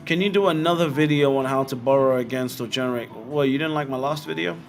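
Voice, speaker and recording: A British man hosting a live show and answering viewer comments in a frank, blunt way, firing off quick rhetorical questions. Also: medium pitch, creaky, soft background music. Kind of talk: casual livestream commentary.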